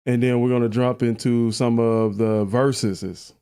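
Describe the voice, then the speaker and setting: A man with a deep voice hosting a live reaction stream, chatty and laughing at his own jokes. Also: fairly even intonation, measured pace, warm tone, clear, resonant tone. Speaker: livestream reaction host. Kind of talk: casual live-stream chat.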